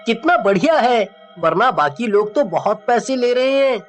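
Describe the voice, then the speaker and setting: A man voicing an eager young male law student in an animated story, speaking with enthusiasm. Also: extremely high pitch, moderate pace, soft background music. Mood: enthusiastic.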